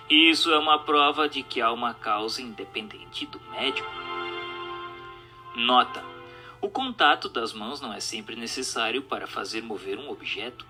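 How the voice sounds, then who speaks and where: A man's voice: medium pitch, pushed and bright. A man reads a scripted question-and-answer text in a formal, didactic manner. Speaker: narrator reading a spiritist book. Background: music.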